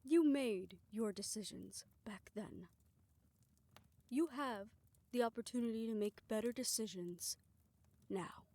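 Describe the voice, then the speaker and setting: Actress as gentle, composed, forgiving woman, higher voice. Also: takes it slowly, pauses often, dry recording. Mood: calm, reassuring. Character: gentle, forgiving woman, once experimented on, now at peace. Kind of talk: soft, intimate audio roleplay acting.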